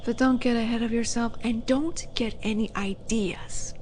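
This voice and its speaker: A woman playing a flirty, condescending demon in an audio roleplay, teasing with unhurried menace and breathy asides. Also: on the high side, steady tone, darkish tone, a little hiss or hum, close to an everyday voice. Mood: cool, businesslike. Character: flirty, teasing female demon, haughty would-be buyer of captives. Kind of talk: scripted audio roleplay monologue.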